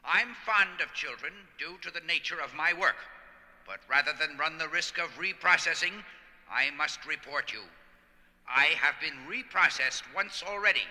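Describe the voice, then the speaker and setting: An actor playing a stiff, irritable, fearful bureaucrat with a pedantic manner in an audio drama. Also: male voice, a little high, lively intonation, moderate pace, bright, forceful tone. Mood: stiff, anxious. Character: officious, irritable, fearful bureaucratic factory man. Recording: long hall reverb.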